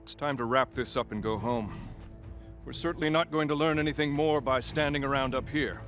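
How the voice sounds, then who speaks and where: An actor playing a brash, self-assured adventurer in a video game, assertive and a little pompous. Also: male voice, mid-range voice, brisk, fairly bright tone, faint music behind, lightly acted. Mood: impatient. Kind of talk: video game cutscene acting.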